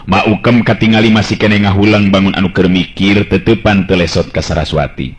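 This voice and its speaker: A man's voice: deep voice, fairly even intonation, a little fast. A man with a Sundanese accent narrating a folk tale as a dramatic radio storyteller. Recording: dull lo-fi radio-style recording.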